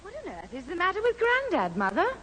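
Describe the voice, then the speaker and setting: High, lively sarcastic sister. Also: female voice, fast talker, exaggerated voice. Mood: puzzled, concerned. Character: sarcastic grown-up daughter, bickering older sister. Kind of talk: radio sitcom acting. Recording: reverberant, noisy old radio recording with audience.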